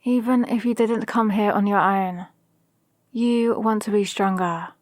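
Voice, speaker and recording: A woman playing a calm, possibly mad villain sorceress in an ASMR roleplay, speaking to an apprentice in a measured, condescending, gently dominant way. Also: mid-range voice, no room sound, close to an everyday voice. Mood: knowing, gently dominant. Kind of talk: ASMR roleplay with whispered asides. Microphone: close, dry microphone.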